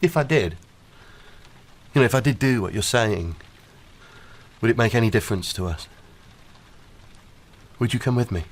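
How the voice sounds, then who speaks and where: A man's voice: lowish voice, moderate pace, pauses often, close to an everyday voice. An actor playing a hurt young man being left by his fiancée, clipped and sarcastic in a radio drama. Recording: faint rain noise behind. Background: rain.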